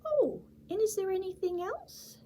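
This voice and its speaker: A woman's voice: very high voice, lively intonation, a little slow, mellow, slight background noise, voice pushed up. A woman voicing a tiny cheerful young mouse who keeps asking eager questions.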